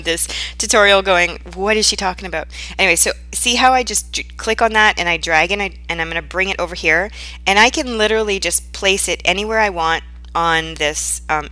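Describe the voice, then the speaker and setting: Bright, low-voiced woman giving a casual tutorial. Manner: informal, conversational, checks in with listener. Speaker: tutorial presenter. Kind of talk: casual screen-share software tutorial.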